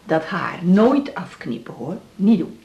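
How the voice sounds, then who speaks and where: In a roomy, echoing recording, a chatty older woman in a hospital bed, with a fairly low voice. She is lonely and folksy, wheedling and cheeky in the manner of a radio play. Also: sing-song, slow, warm tone, muffled recording, full character voice. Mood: motherly, cheeky. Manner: plain-spoken, wheedling, folksy. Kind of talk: radio drama acting.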